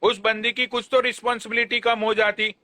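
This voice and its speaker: A man's voice: very high-pitched, little pitch movement, a little fast, bright, forceful tone, dry recording. A young man hosting a casual live stream, speaking in an emphatic, repetitive, admonishing way. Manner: emphatic, repetitive, informal pleading.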